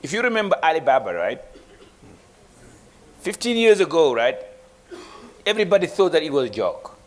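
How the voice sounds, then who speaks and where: A man's voice: on the high side, sing-song, moderate pace. A man speaking as an investment banker on a panel, emphatic, with rhetorical questions and frequent restarts. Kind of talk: motivational panel talk.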